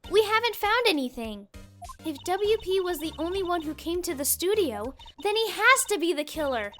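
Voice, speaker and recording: A woman plays a perky young girl sidekick from a video game. Her voice is extremely high and childlike, and she speaks with blunt, naive eagerness over faint music. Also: big pitch swings, moderate pace, fairly bright tone, exaggerated voice. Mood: eager. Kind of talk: fan-dub game character acting. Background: background music.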